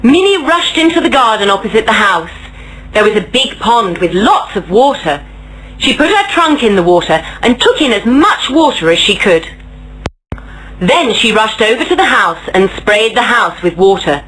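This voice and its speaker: A woman storyteller narrating a scripted children's story in a deliberate, expressive read-aloud manner. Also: mid-range voice, slight background noise, low-quality recording.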